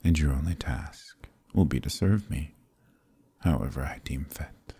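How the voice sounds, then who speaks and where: Very deep, gravelly and dark, this is a suave, possessive demon prince, played by a man in an audio roleplay. He murmurs close to the mic in a husky, purring near-whisper, commanding and indulgent at once. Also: measured pace, full character voice. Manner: hushed, purring, often whispering. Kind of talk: ASMR roleplay.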